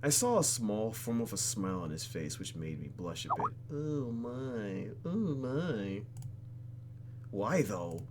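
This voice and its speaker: A man's voice: fairly low pitch, sing-song, slow, very noisy. A man reading visual novel text aloud for a let's play and reacting to it in a theatrical, exaggerated way. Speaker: YouTube gamer.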